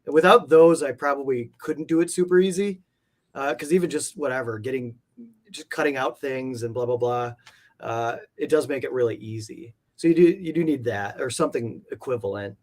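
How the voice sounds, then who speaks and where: A man's voice: medium pitch, moderate pace. A man chatting casually as an interview guest, with informal, loose phrasing. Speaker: interview guest, comic art collector. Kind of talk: casual chat.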